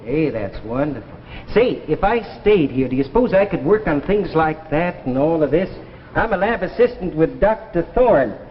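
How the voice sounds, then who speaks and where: An actor playing an eager, anxious young lab assistant who travels through time, earnest and stammering, in 1950s sci-fi drama style. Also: male voice, a little high, very animated, normal speed, a little hiss or hum. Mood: excited, curious. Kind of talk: 1950s radio-era sci-fi drama acting. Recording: dull vintage broadcast, long reverb, music behind.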